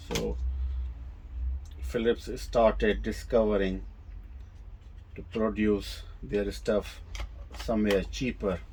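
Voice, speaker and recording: A man giving a casual, hands-on product demonstration, explaining things informally in a halting, hesitant way. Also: lowish voice, steady tone, darkish tone, slight background noise, rough recording. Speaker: presenter of a vintage audio gear demo.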